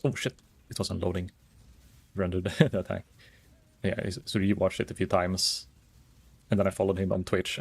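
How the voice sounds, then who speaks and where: A calm, soft-spoken man chatting casually while streaming a game, rambling and thinking aloud with many fillers. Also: low-pitched. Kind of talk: casual gaming stream chat.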